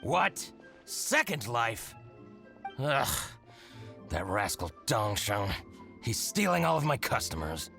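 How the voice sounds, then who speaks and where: Spiteful merchant, slow drawn-out delivery, wildly swooping pitch, theatrical, faint game music. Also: male voice, very animated, creaky, sharp tone, heavily acted. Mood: indignant. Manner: theatrical, gloating, drawn-out speech. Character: scheming spiteful male merchant, middle-aged. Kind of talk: video game voice acting.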